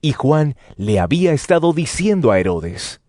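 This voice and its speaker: A man narrating scripture as a dramatized story, with expressive storytelling delivery. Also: on the high side, normal speed, dry recording, clean studio sound. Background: music.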